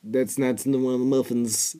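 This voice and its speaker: A man's voice: medium pitch, steady tone, moderate pace, warm tone. A young man in a small bedroom, rambling and joking through a cartoon reaction video on an earbud mic, with mumbled asides and swearing. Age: young adult. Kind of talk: casual cartoon reaction commentary. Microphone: earbud headset mic.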